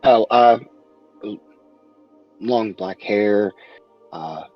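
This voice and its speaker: Hesitant low man on a dull mic. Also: drawn-out words, faint music behind. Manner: hesitant, with many fillers. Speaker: roleplay player. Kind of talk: casual in-character roleplay.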